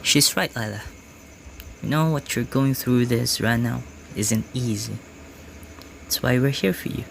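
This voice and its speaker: A man's voice: mid-range voice, unhurried, gravelly, long pauses. A man playing a domineering, abusive husband in an amateur radio drama. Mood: calming. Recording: faint background noise.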